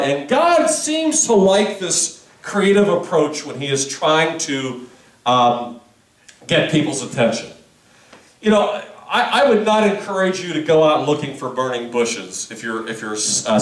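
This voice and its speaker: Guy preaching in a church, casual chatty sermon, high lively voice, kinda far from the mic. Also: echoey room. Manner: conversational, chatty preaching with asides and audience questions. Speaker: pastor. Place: church sanctuary.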